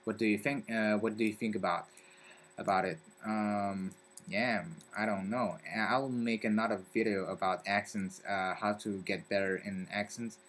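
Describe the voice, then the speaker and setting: A young man from Belgium with a French accent, talking casually to camera in a halting way and searching for his words. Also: on the low side, fairly even intonation, a bit bright. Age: young adult. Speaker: vlogger. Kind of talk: casual vlog talk to camera.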